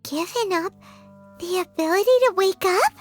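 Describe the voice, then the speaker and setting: A woman voicing a tiny, excitable, childlike sidekick in a video game, squeaky and exclamatory. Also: moderate pace, faint music behind. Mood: baffled surprise.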